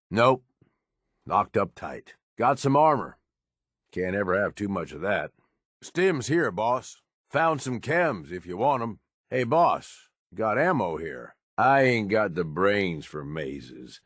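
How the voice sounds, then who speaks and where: An actor playing a gruff, scheming male raider lieutenant in a video game, with a crude, sardonic tough-guy drawl. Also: on the low side, a bit bright, no room sound, studio quality. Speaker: companion character. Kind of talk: video game combat and companion barks.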